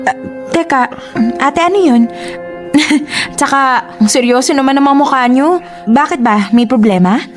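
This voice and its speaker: A woman's voice: sits high, measured pace, long pauses, faint music behind. An actress playing a sweet, unsuspecting younger sister and girlfriend in a radio drama, with a Filipino accent. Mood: worried, puzzled.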